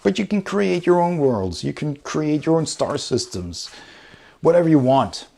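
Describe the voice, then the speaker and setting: A man's voice: fairly high pitch, sing-song, unhurried, gravelly, a little mellow. A man giving a casual, enthusiastic review, talking through a book as he goes. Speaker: board game reviewer.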